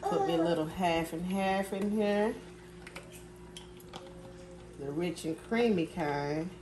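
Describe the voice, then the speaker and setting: A woman's voice: lowish voice, slow. A woman casually talking viewers through her cooking in a home kitchen, filmed on a phone over the stove. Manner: relaxed, chatty, addresses viewers as y'all. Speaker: home cook vlogger. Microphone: phone camera filming over the stove. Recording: echoey kitchen with cooking noise. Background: sizzling pans and clattering pots.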